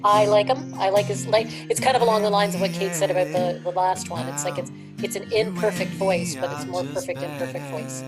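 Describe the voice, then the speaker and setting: A woman with a slightly low voice judges hesitantly, searching for her words. Loud music plays behind her. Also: steady tone, brisk, hardly any pauses, poor audio. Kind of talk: casual panel chat.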